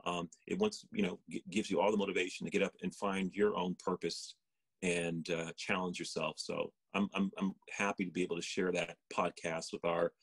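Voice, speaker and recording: A man's voice: sits low, flat delivery, moderate pace, fairly bright tone, breathy edge, no room sound. A man co-hosting a podcast as a running coach, giving casual motivational talk.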